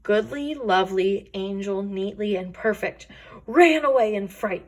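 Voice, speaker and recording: A woman storyteller reading a children's picture book aloud with expressive, deliberate emphasis. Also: mid-range voice.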